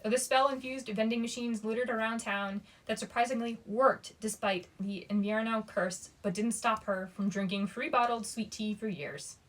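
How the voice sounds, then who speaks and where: A woman's voice: fairly high pitch, normal speed, few pauses, a bit bright, poor audio. Woman narrator as sixteen-year-old girl, storybook heroine, bold, curious, cynical, casual. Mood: confident.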